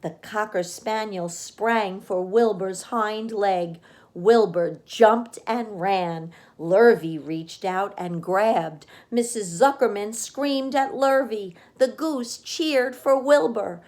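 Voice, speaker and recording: A woman narrating a children's storybook as a read-aloud reader, with expressive delivery. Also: medium pitch, slow pace.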